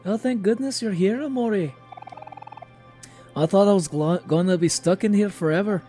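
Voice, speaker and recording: A man voicing a gentle, earnest young friend from a horror game, reading the dialogue in a reassuring way. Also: high-pitched, moderate pace, soft background music, lightly acted. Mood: relieved. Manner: soft-hearted, reassuring, reading dialogue aloud.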